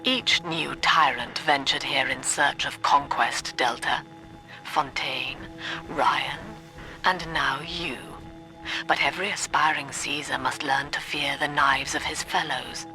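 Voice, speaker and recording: An actress playing a cold, cerebral middle-aged psychiatrist and cult leader in a video game, speaking in a formal, precise, solemn manner. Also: female voice, deep voice, steady tone, slow pace, music in the background, rough recording. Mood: grave, accusing. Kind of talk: dramatic video game monologue.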